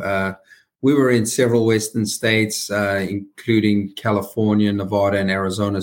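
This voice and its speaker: Lower-voiced South African man, middle-aged or older. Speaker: podcast guest, company founder and chief executive. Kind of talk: conversational interview answers.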